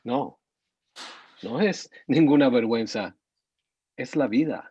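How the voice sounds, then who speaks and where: A man's voice: medium pitch, unhurried, dark tone, slightly rough. A man playing a lackadaisical, cynical army veteran in a screenplay table read, dismissive and laid-back. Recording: muffled, echoey room sound.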